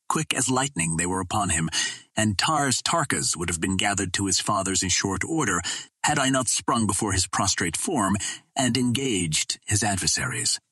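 A man narrates an adventure tale in a low register. His voice is husky and the sound is dry, with scripted, first-person storybook delivery. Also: bright, forceful tone, no echo. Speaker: audiobook narrator.

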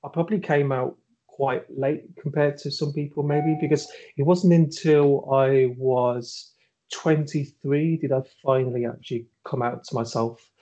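A man's voice: medium pitch, steady tone, unhurried, mellow, rough recording. A middle-aged man from Birmingham with a Birmingham accent, a podcast guest who talks hesitantly with many fillers and restarts and laughs at himself. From Birmingham, England. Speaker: interview guest. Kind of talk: podcast interview.

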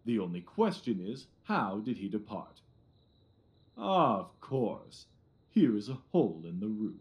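A man's voice: lowish voice, sing-song, a little slow, a little mellow, slight background noise. Man acting a pompous, swooping Victorian police inspector. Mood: smug. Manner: pompous, self-satisfied, officious. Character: pompous, blustering Victorian police inspector, smugly self-assured. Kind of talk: audio drama acting.

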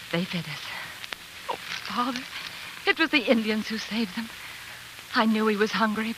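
An actress playing a devoted, determined politician's wife in an earnest, articulate 1940s radio drama style. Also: female voice, a little high, background noise, close to an everyday voice. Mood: eager, affectionate. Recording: vintage 1940s radio recording.

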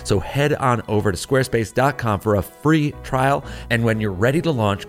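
Man, lowish voice, podcast sponsor read, casual asides, faint music underneath. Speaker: podcast host reading a sponsor ad.

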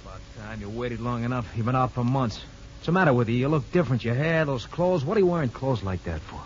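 Actor as gruff, sneering male convict, muffled radio. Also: medium pitch, lively intonation, quick pace, close to an everyday voice. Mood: impatient, scornful. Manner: curt, slangy, scornful. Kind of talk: 1950s radio drama acting. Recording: muffled, noisy old radio broadcast.

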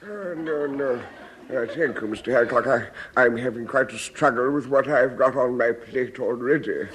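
An actor with an English accent playing a mild, polite, easily flustered vicar in a 1950s radio sitcom. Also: male voice, mid-range voice, moderate pace, a bit bright, natural voice, poor audio. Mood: flustered politeness. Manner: polite, hesitant, sententious.

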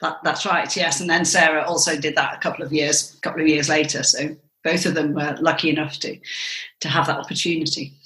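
Bright-voiced middle-aged woman, roomy echo. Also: deep voice, little pitch movement. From England. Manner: measured, storytelling with fillers. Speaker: interview guest, mother of cricketers. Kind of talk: conversational podcast interview. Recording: roomy echoey sound.

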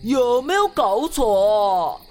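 A woman's voice: fairly high pitch, big pitch swings, extremely slow, faint music behind, heavily acted. A woman voicing a proud, scornful tiger, king of the beasts, blustering through a children's story. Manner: blustering, haughty.

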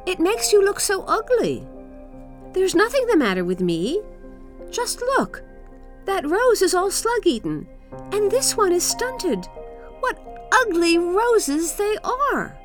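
A woman voicing a peevish, scornful, excitable young boy in a storybook, with emphatic exclamations. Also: sits high, a little slow, soft background music, voice pushed up.

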